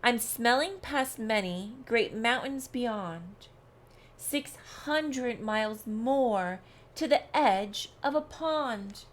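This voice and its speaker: Woman doing a proud, slow-talking boastful bear. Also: fairly high pitch, animated. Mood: smug boasting. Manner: boastful, emphatic, growling at times. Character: proud, competitive male bear bragging about his nose.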